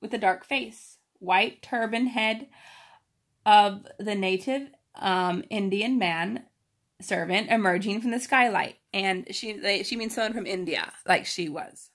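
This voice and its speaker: A woman reads a children's story aloud with expressive emphasis, pausing to explain it informally. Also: mid-range voice. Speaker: storybook reader.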